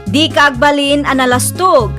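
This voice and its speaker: A woman's voice: high-pitched, moderate pace. A woman with an Ilocano accent reading a scripted radio drama introduction as the channel announcer. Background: loud music.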